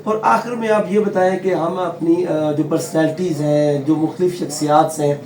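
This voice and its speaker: A man's voice: on the high side, steady tone, moderate pace, a little mellow. A Pakistani man hosting a radio interview in a courteous, formal manner. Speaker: radio host interviewing a guest. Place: radio studio. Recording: roomy echo, faint background noise.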